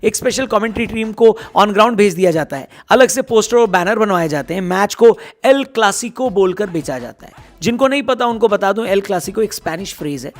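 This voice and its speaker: Hoarse, fast-talking man giving cricket news. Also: sits high, animated. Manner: chatty, teasing, addresses viewers directly. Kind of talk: casual sports news commentary to viewers.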